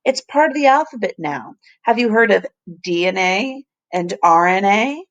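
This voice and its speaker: Earnest, emphatic professor read by a woman, creaky. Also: mid-range voice, animated, lo-fi sound, natural voice. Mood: earnest, explanatory. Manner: deliberate, explanatory, emphatic. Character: earnest, kindly, scholarly male professor.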